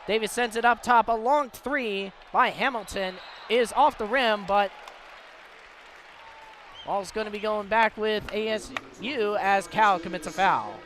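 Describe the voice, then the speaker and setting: A high-pitched male radio announcer gives live play-by-play of a game. His voice sits over the ambient noise of a sports venue, following the action in the manner of a broadcast commentator. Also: measured pace, a bit bright, a faint crowd. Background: clear ambient venue noise.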